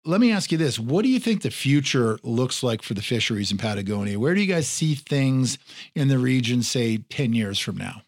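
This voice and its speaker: A man hosting a podcast, asking his guests questions in a relaxed, joking way. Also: medium pitch, normal speed, dry recording. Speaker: podcast host. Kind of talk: interviewing guests.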